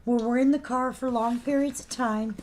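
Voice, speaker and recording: A woman co-hosts a casual live stream with a slightly higher voice that is wearing thin as she loses it. She reads out item numbers and fires back dry, teasing remarks. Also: fairly even intonation, normal speed, a little mellow, slight background noise. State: losing her voice. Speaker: co-host of a live collectibles show.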